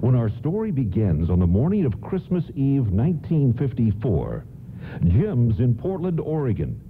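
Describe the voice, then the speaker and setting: A muffled, narrow-band recording with faint noise carries a nostalgic radio storyteller. His delivery is scripted and moves up and down freely in pitch. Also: huge pitch swings, normal speed. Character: nostalgic male storyteller of a Christmas radio play. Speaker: narrator. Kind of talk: scripted radio play narration. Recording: muffled phone-like audio, faint rumbling noise.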